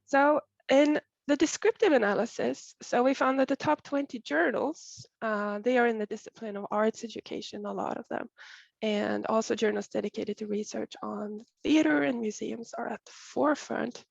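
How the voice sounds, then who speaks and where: Raspy woman with a cold. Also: on the high side, no room sound, lo-fi sound. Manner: measured, careful, frequent throat clearing. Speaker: seminar presenter. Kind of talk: academic presentation. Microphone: video call.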